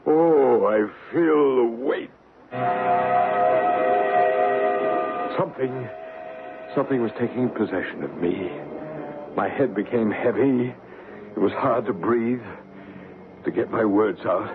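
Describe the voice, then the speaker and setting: An actor playing a solemn, deliberate male paranormal investigator who narrates and acts in an old radio mystery drama. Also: mid-range voice, animated. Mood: strained, gripped. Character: solemn male paranormal investigator and ghost hunter named Bryce. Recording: noisy, phone-like old radio broadcast recording.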